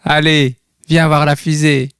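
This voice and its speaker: A man's voice: a little high, little pitch movement, a little slow, a bit bright, clear, resonant tone, pitched above the performer's own voice. A man voicing a cheerful, kindly old grandfather in a children's storybook, teasing and affectionate, asking questions and reassuring. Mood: encouraging.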